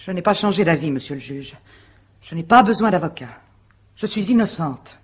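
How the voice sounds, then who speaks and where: An actress playing a proud, guarded wife under suspicion in a radio mystery drama, answering formally and with composure. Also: female voice, fairly low pitch, lively intonation, normal speed, slightly rough. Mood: defiant, composed. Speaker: actor in a radio mystery play.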